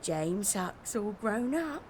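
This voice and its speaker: A woman's voice: mid-range voice, big pitch swings, slow pace, a little mellow, slight background noise. A woman playing a nosy older charity collector in an audio drama, with a familiar, singsong way of calling out. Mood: prying, familiar. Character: nosy older female foundling hospital charity beggar.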